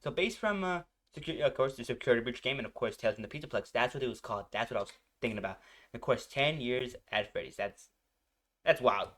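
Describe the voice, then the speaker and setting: A man's voice: mid-range voice, lively intonation, fast talker, fairly bright tone. A man giving casual, gushing reaction commentary as a video host, rambling and often repeating himself. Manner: gushing, repetitive, rambling enthusiasm.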